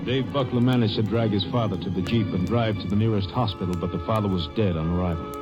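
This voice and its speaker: Man narrating old radio drama, brisk, lowish voice, music behind, dull sound. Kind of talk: scripted narration.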